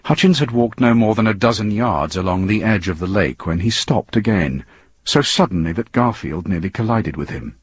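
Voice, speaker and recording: Man, lowish pitch, dry close sound, dramatic scripted audiobook narration, husky. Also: lively intonation, normal speed, dry recording.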